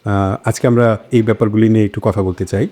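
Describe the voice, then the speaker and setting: A man hosting a casual discussion, introducing topics in a hesitant, halting way. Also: lowish voice, fairly even intonation, dark tone. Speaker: host.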